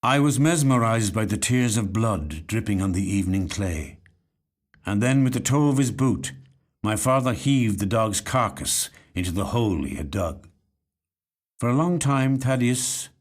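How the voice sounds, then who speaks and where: Animated male narrator reading literary fiction. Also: on the low side, a little slow. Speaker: actor reading a short story. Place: recording studio.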